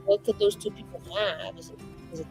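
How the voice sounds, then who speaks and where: A woman's voice: mid-range voice, brisk, over music, pitched below the performer's own voice, lightly acted, rough recording. A woman voicing a nervous, scheming young mage in a fantasy tabletop game, rambling, slangy and anxiously earnest. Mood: evasive, rambling.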